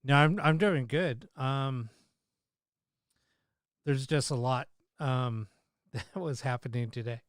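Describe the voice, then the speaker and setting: A man hosting a paranormal livestream, chatting casually with many fillers and restarts, his voice slightly hoarse. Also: mid-range voice, slow pace, pauses often, dry recording, clean studio sound. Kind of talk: casual live-stream chat.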